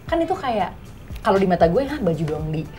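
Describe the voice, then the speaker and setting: A woman's voice: lowish voice, brisk. A young woman chats casually in a group discussion, slangy, acting out examples and checking that others follow. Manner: slangy, animated role-play examples, checks listeners follow. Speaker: talk show panelist. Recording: music playing behind the talk. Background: background music.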